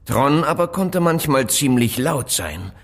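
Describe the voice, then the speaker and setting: An actor playing an evasive, cautious phone inventor in an audio drama, speaking politely and deliberately, with rhetorical questions. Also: male voice, mid-range voice, very animated. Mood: knowing, insistent. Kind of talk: German audio drama dialogue acting.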